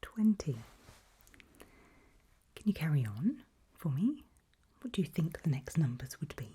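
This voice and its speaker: A woman doing a whispered ASMR doctor roleplay, speaking breathily in gentle, reassuring, halting short phrases close to the microphone. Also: low voice, very animated. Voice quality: breathy, mostly unvoiced whisper. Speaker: ASMR performer role-playing a doctor giving a memory test.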